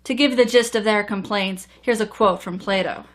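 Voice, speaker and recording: A woman's voice: medium pitch, normal speed, a little hiss or hum. A woman reviewing an old film in a sardonic, casually profane comedic style.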